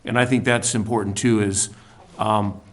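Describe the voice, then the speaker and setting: A man on a city council speaking earnestly and slowly, with some hesitation. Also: lowish voice, fairly even intonation. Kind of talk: council meeting remarks. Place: council chamber.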